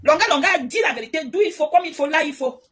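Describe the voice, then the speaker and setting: A woman's voice: high-pitched, fast talker. A woman from Cameroon, giving an impassioned spoken monologue full of stammering restarts. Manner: animated, repetitive, stammering restarts. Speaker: online commentator.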